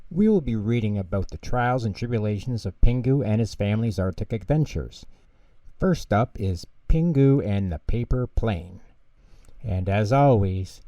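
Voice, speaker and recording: A man narrating children's bedtime stories in an expressive storytelling style. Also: lowish voice, no echo. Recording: dull, lo-fi close recording.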